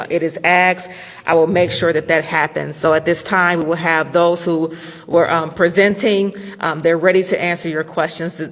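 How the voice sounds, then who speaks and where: A woman moderating a city public input meeting, speaking firmly and clearly with a big voice, in a public meeting hall. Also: lowish voice. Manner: firm, clear, loud speaker. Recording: reverberant hall.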